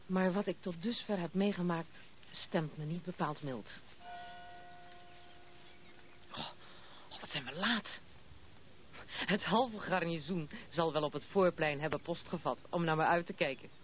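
A woman's voice: on the low side, close to an everyday voice. Casting: actress for headstrong young aristocratic woman, blunt radio drama delivery, phone-thin vintage sound with some hiss. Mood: blunt, dismissive. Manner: brisk, self-assured, outspoken. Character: headstrong, unconventional young noblewoman, blunt and proud. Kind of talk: Dutch radio play acting. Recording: muffled narrow-band old radio recording, noisy.

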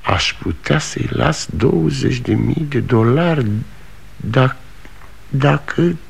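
A gravelly old salesman. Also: medium pitch, expressive, exaggerated voice. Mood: brooding. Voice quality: gravelly, husky. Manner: declamatory, swinging between bluster and wonder. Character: aging proud desperate male traveling salesman. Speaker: lead actor in radio play. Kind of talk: 1960 radio theatre acting.

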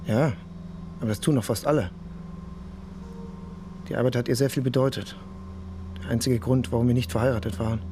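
An actor playing a shaken, grieving police detective who speaks haltingly and defensively in a radio crime drama. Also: male voice, mid-range voice, fairly even intonation, background noise, lightly acted. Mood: subdued, wistful. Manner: hesitant, halting, repeating himself.

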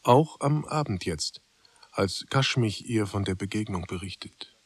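An actor as a sober chronicler, narrating a literary radio drama in measured, clipped phrases. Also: male voice, fairly low pitch, expressive, husky, no echo. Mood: matter-of-fact. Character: sober, unhurried male chronicler of a literary tale.

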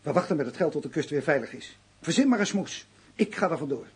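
An actor playing a hard, distrustful, bossy gangster in a radio play, with a curt, coarse, commanding delivery. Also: male voice, on the high side, animated, fast talker, lightly acted. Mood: grim.

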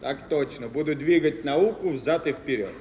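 An actor playing an obedient pupil who gives clipped, soldierly, dutiful replies in a stage drama. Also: male voice, a little high, normal speed, lightly acted. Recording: noisy, muffled, reverberant archive stage recording.

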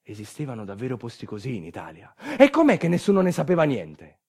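A man's voice: mid-range voice, wildly swooping pitch, fast, creaky, darkish tone, breathy edge. A man performing a theatrical narrative monologue in a wry, rhetorical manner, with colloquial asides and refrains.

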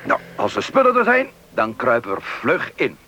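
A man's voice: mid-range voice, huge pitch swings, moderate pace, bright, forceful tone, lo-fi sound, exaggerated voice. An actor playing a good-natured, easygoing father who has just arrived at a remote outpost, in a Dutch radio play. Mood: upbeat. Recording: old radio play, faint background noise.

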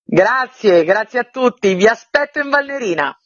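A woman's voice: fairly high pitch, animated, moderate pace, over music. A woman presenting a festival program as a guest in a radio interview. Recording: muffled, dry, narrow-band sound.

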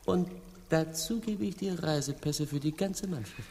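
An actor playing a greedy, excitable Spanish king who haggles and repeats himself in a satirical radio play. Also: a little high, moderate pace, breathy edge. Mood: excited haggling. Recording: long hall reverb.

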